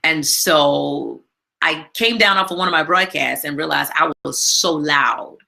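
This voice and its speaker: A woman hosting a live stream from home, chatting casually with lots of fillers and a big, loud voice. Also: deep voice, a little slow, gravelly. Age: adult with a daughter. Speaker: live stream show host. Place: home, not her usual studio.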